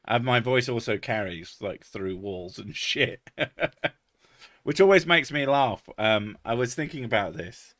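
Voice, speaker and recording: A man's voice: expressive, slow pace, a bit bright, no echo, clean studio sound. A man, chatting casually as a podcast host, swearing freely and laughing at himself. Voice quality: deep natural voice. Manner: swears freely, laughs often, self-mocking. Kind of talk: casual chat.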